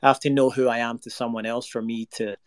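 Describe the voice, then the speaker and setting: A man's voice: mid-range voice, little pitch movement, fast talker, no echo, clean studio sound. A man from Scotland with a Scottish accent, a singer-songwriter chatting earnestly on a video call as a guest. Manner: earnest, reflective, rambling with fillers. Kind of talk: casual interview chat. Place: home recording space in Nashville.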